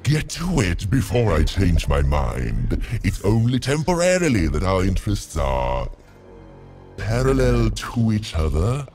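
An actor playing a pompous, touchy, scheming mayor in a video game, theatrical and fond of clumsy rhymes. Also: male voice, on the low side, huge pitch swings, measured pace, a little mellow, heavily acted. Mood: scheming, smug. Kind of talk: video game character acting. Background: game music.

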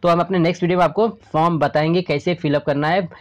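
A man's voice: a little high, fairly even intonation, quick pace, lo-fi sound. A man with an Indian accent presenting as a channel host, explaining informally and repetitively, often addressing viewers as friends. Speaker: host of a government job news channel. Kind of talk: informal explainer narration over a screen recording.

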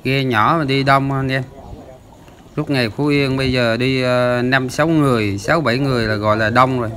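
A man's voice: medium pitch, steady tone, a little fast, fairly bright tone, slight background noise. A man hosting a fishing channel, explaining the footage in a chatty voice-over with lots of filler sounds. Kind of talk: casual voice-over commentary.

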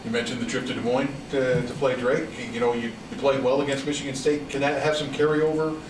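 A man's voice: mid-range voice, little pitch movement, fast talker, a crowd in the background. A man asking a sports reporter's press conference questions, rambling with restarts, picked up off-camera from a distance in an echoey room with noise. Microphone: off-camera, picked up from far.

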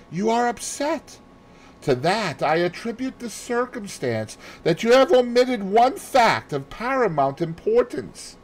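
Guy doing a fussy excitable little belgian detective, high pitched, slightly husky, very theatrical audiobook style. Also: exaggerated voice, low-quality recording. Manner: theatrical, emphatic, precise, with French phrases. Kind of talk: audiobook character voice.

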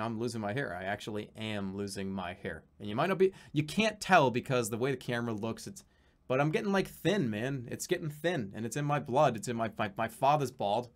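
A man in his thirties chatting casually on a live stream from a small room at home, reading out donations, rambling and swearing, sometimes breaking into excited yelling. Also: lowish voice, a little fast. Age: 30s.

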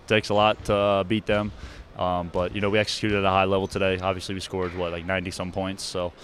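Chill young ball player, lowish voice, easygoing post-game talk, slight hum behind. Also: male voice, quick pace. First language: English. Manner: relaxed, casual, lots of fillers. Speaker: basketball player. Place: indoor arena. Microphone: handheld interviewer mic.

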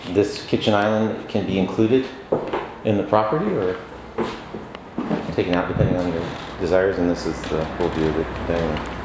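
Rental agent, male, very low voice, halting unscripted walkthrough, bare echoing rooms, noisy camera mic. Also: steady tone. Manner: halting, with frequent uh fillers and restarts. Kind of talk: unscripted property tour narration. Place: empty apartment, indoors, walking room to room. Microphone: camera mic on a handheld camera. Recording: echoey empty rooms with background noise.

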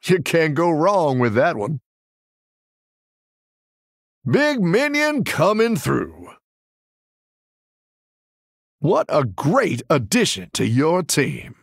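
An actor playing a friendly, encouraging tavern keeper who looks after little dragons in a video game, with a warm, folksy manner and a gravelly, husky voice. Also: male voice, on the high side, wildly swooping pitch, very slow, pauses often, dry recording, clean studio sound, exaggerated voice. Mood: cheerful, encouraging. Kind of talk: game character voice lines.

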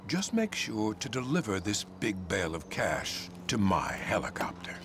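Low-voiced, unctuous cult leader man. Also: very animated, normal speed, noisy background. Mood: serene, sly. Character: serene, sly male cult leader. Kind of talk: video game cutscene acting.

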